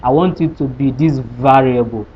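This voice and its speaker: A man explains code step by step in a screen-recorded tutorial, stopping to ask rhetorical questions before he answers them. His voice sits at an average pitch. The recording sounds dull and lo-fi, with a faint hiss underneath. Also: normal speed. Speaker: programming tutor. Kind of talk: screen-recorded coding lesson.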